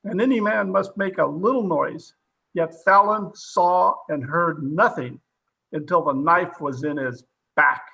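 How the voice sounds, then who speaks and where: An actor playing a hard-nosed, sarcastic police captain in an old-time radio drama, interrogating forcefully and full of disbelief. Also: male voice, a little high, unhurried, vocal fry, breathy edge, exaggerated voice, low-quality recording. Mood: accusing.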